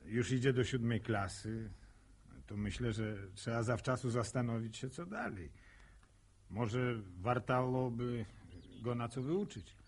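An actor playing a shy, hard-working village farmer in a radio drama, plain-spoken and halting, with speech. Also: male voice, medium pitch, breathy edge. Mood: hesitant, bashful. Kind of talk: naturalistic radio drama acting.

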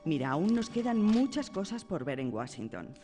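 Radio drama role: playful mother, indulgent, swooping intonation, lowish pitch, music underneath. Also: sing-song, normal speed, warm tone, big-room sound. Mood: amused. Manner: teasing, indulgent. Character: playful, affectionate mother of a young girl.

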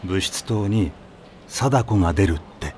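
A man playing a calm senior high school boy in an anime audio drama, with a measured, solemn way of talking in casual slang. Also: a little hiss or hum. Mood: eerie, solemn. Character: calm, gentle-faced senior high school boy telling ghost rumours.

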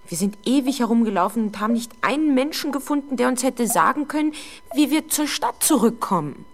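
A young woman playing a frightened, defensive teenage girl under police questioning in a 1970s German radio drama, halting and easily exasperated. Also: fairly high pitch, expressive, a little hiss or hum. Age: young adult. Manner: hesitant, halting, exasperated when doubted.

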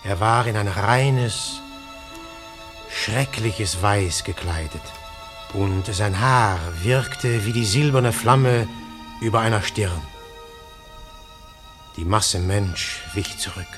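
A thoughtful, earnest man who narrates and questions in slow, measured tones. Soft music sits quietly behind him in this radio play. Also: lowish voice. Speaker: narrator and character.

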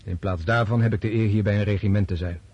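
An actor in a Dutch radio drama playing a disillusioned, resolute Russian officer prince, speaking in a deliberate, scornful way. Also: male voice, fairly low pitch, fairly even intonation, breathy edge, muffled recording. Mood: bitter, lecturing.

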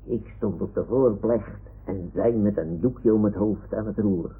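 A man playing a boastful, argumentative toy lion with a husky voice and a childlike way, in an old radio play. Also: on the low side, measured pace, exaggerated voice. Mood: boastful, defiant. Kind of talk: 1960s-70s radio play acting. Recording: tinny old radio recording.

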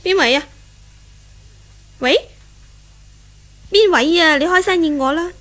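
Radio drama: dutiful young daughter, high, girlish, anxious, Hong Kong Cantonese accent. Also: takes it slowly, fairly bright tone, full character voice. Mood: puzzled. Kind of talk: 1970s radio drama acting.

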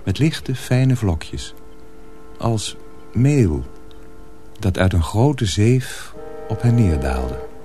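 A man's voice: on the low side, big pitch swings, very slow, pauses often, music in the background. A man narrating a radio drama as a storyteller, reading a dramatic script.

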